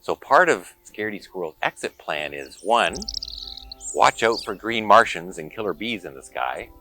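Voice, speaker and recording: A man reading a picture book aloud to children in an expressive, dramatic storytelling manner. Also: low-pitched, faint music behind. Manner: expressive, dramatic pauses and exclamations.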